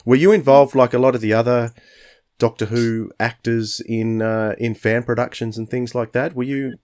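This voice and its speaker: A man's voice: medium pitch, steady tone, moderate pace, darkish tone. A man hosting an interview, asking casual, conversational questions. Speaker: interviewer, podcast host.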